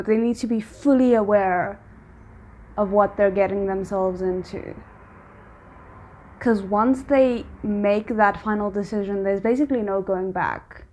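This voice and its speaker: A woman's voice: medium pitch, darkish tone. A woman giving casual spoken reaction commentary as a host, squeamish and dryly sarcastic. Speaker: host of a reaction video channel.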